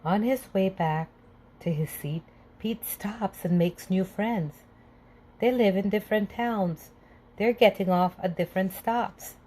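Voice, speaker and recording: A woman reading a children's picture book aloud in a slow, clear, gentle way. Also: medium pitch. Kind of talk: read-aloud storytelling.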